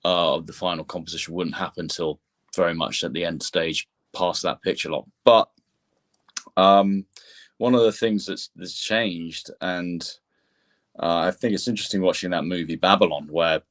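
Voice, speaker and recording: A man's voice: low-pitched, fairly even intonation, moderate pace, fairly bright tone. A man giving discursive explanations as an interview guest, often restarting and repeating words as he goes. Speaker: composer and sound engineer, interview guest.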